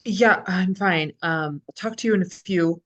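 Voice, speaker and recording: A woman playing a chipper, sardonic reality show host in a scripted table read, presenting brightly and slipping into sarcastic asides. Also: on the low side, a little slow, dull audio. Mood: flustered.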